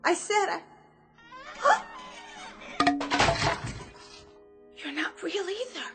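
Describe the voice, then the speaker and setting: A very high-voiced, frightened young woman in a radio drama. She stammers and pleads breathlessly, her words coming slowly, with music playing underneath. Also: very animated, heavily acted. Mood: anxious relief. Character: frightened young woman trapped alone in a department store.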